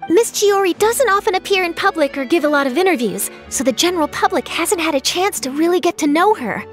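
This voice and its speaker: A woman's voice: very high voice, expressive, a little fast, faint music behind. An actress playing an earnest, principled young woman reporter in a video game, articulate and eager in her delivery. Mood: earnest, concerned.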